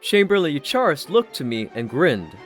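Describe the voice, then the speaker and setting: Male audiobook narrator, slightly high register, expressive first-person storytelling over faint music. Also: normal speed. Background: background music.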